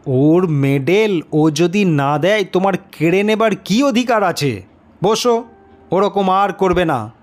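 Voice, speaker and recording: A stern schoolmaster, played by a male storyteller speaks to his class with a raised pitch. He scolds and questions his pupils with brisk authority and curiosity, in an audio-story manner. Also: animated.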